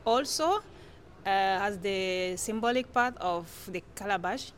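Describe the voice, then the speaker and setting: A woman from Cameroon with a Francophone Central African accent, giving explanatory interview answers as a guest scholar in halting, non-native English, often rephrasing. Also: medium pitch, a little hiss or hum. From Chad-born, grew up in northern Cameroon.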